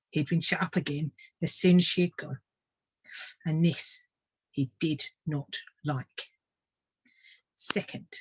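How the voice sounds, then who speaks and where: A woman narrating a children's storybook like a tutor reading aloud, measured and expressive. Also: low voice, husky, no room sound, rough recording.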